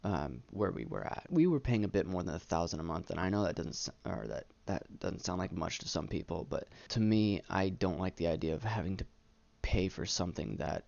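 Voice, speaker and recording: A young adult man talking candidly about himself as a vlogger, halting and stuttering on words, earnest and self-deprecating. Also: deep voice, animated, vocal fry, darkish tone, dry recording, dull audio. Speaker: YouTube vlogger. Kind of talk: candid personal monologue, casual chat. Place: small room indoors.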